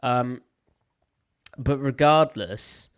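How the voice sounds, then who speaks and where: Young British male podcast host, smooth-voiced, a bit snuffly, playful and opinionated. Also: medium pitch, very slow. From United Kingdom. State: slight head cold, snuffly. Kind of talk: casual live podcast chat.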